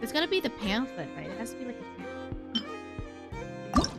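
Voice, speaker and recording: Casting: female game streamer, higher-pitched, playfully sarcastic, offhand commentary over prominent, loud music. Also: huge pitch swings, normal speed. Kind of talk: casual gaming commentary.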